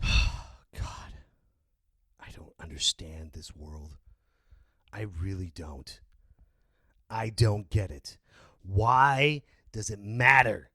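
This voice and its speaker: A man hosting a podcast, ranting loudly and bursting into sudden yells. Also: lowish voice, extremely slow, long pauses, no room sound, clean studio sound. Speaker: podcast host. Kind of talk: opinion rant.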